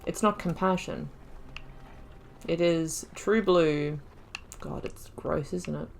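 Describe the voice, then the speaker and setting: A woman's voice: low voice, slow pace, creaky, darkish tone, slight background noise. Chatty woman musing over a game. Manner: musing, chatty, laughs often. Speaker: YouTuber playing a video game. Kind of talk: let's-play commentary.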